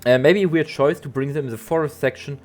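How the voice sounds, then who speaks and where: A man, a bit nasal from allergies, doing a halting voiceover for a game build video with lots of ums and restarts. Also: a little high. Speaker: zoo-building game creator. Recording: soft guitar music behind.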